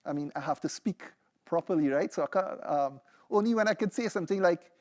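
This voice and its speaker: A man's voice: a little high, a little fast. A young man with a Trinidadian accent giving a seminar talk, loose and conversational, often checking in with "right?" and "you know". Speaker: PhD candidate presenting. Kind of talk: seminar presentation with live demo.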